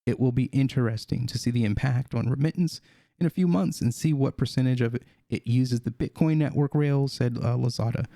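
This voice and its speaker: A man hosting a video, reading a news article aloud and adding his own comments, halting with fillers and restarts. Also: mid-range voice, vocal fry, mellow, no echo, clean studio sound. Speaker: crypto video host.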